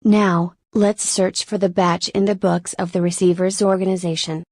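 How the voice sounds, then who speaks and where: A woman reading scripted instructional narration for a software tutorial in a measured, step-by-step manner. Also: lowish voice, little pitch movement, no echo, studio quality.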